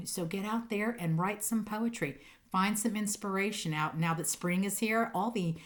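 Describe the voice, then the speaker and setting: A woman speaking like an encouraging teacher to children, reading poems expressively and chatting casually in a playful, enthusiastic way. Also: fairly low pitch. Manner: playful, animated, emphatic on sound words.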